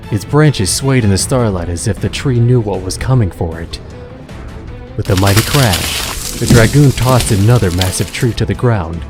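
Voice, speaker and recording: Low-voiced male storyteller over music. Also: a little mellow. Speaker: narrator. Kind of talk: scripted dramatic storytelling narration.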